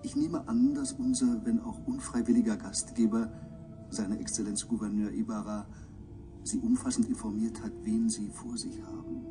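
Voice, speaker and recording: An actor in a German radio drama playing a ceremonious, wry alien scholar with measured, elaborately polite speech. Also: male voice, fairly low pitch, animated, recorded from a distance, over music, natural voice. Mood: courteous, wry.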